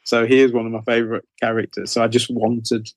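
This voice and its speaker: A man's voice: medium pitch, completely flat delivery, brisk, no echo. A middle-aged man chatting casually as an interview guest, informal and often hesitantly rephrasing himself. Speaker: guest author interviewed about writing career.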